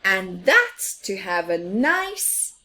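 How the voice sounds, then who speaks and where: Slow, animated woman storyteller. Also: medium pitch. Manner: addresses child listeners with questions, often drops to a whisper. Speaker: children's storyteller. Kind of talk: expressive picture-book read-aloud for young children.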